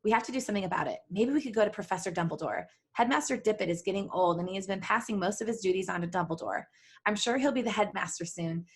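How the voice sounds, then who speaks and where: A woman reading the part of a steady, protective young male wizard in an amateur story reading. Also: mid-range voice, quick pace, husky. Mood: resigned, hopeful.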